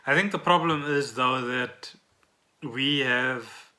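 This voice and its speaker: A man with a South African accent and a very bright, forward voice. He talks casually and off the cuff, as a podcast co-host thinking aloud. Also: mid-range voice, slow. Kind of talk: casual unscripted podcast chat.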